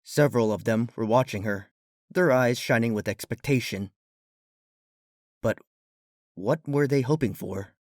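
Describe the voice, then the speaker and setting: A man's voice: mid-range voice, a little slow, long pauses, a little mellow, no room sound, studio quality. A man reading a fan fiction story as a scripted narrator. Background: background music.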